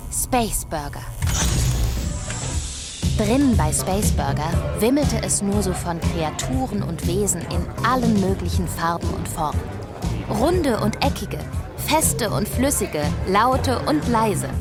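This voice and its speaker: Slow-paced girl storyteller. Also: on the high side. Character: young girl narrator recalling her space adventure. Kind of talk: scripted children's story narration. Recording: music behind.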